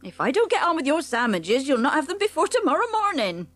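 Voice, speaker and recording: A woman playing a kindly, busy village shopwoman in an audiobook, brisk and chatty. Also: high-pitched, sing-song, a bit bright, dry recording. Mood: chatty, motherly. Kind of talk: audiobook character dialogue.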